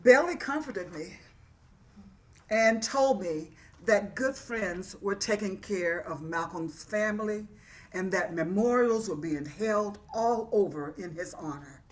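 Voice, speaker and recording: A woman playing a reflective poet and activist in a dramatic reading, telling her story in an earnest, measured way. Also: medium pitch, a bit bright.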